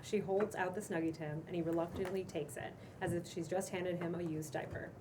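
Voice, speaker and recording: A woman's voice: lowish voice, steady tone, brisk. A woman reading scripted stage directions as the narrator of a table read. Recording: distant mic, noticeable room noise.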